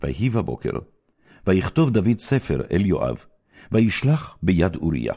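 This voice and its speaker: Deep, gravelly man reading scripture dramatically. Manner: solemn, measured, expressive storyteller delivery.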